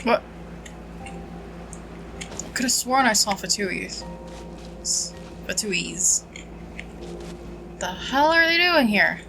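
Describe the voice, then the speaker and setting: A woman's voice: mid-range voice, very animated, drawn-out words, gravelly, long pauses, low-quality recording. Casual woman gamer over game music. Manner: informal, chatty. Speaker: gamer doing a playthrough. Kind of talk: casual game playthrough, reading dialogue choices and reacting.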